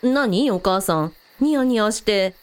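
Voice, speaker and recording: A woman's voice: medium pitch, expressive, measured pace, clean tone, dry recording, lightly acted. Woman playing a lilting, easygoing shrine maiden. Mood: teasing. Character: calm, supportive older-sister shrine maiden. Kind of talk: radio drama acting.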